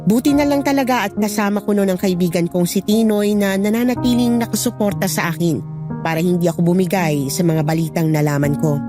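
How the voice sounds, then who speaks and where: A woman's voice: mid-range voice, close to an everyday voice. A woman narrating a scripted first-person horror story. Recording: prominent music bed under narration.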